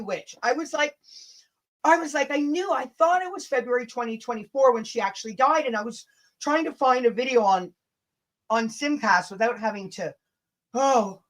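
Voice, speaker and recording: A woman's voice: on the high side, fairly bright tone, rough recording. A woman hosting a casual livestream rant, blunt, combative and sweary, with chatty asides to her viewers. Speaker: livestream host.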